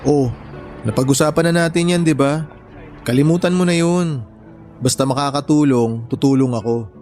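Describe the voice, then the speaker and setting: An actor playing an easygoing, helpful young bachelor in a radio drama, with casual, conversational delivery. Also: male voice, a little high, moderate pace, darkish tone, close to an everyday voice. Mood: teasing, reassuring. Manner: casual, teasing, reassuring. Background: music bed.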